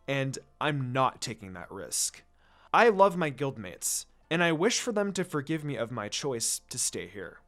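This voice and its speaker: A man reading fan fiction aloud as expressive scripted story narration. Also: on the high side.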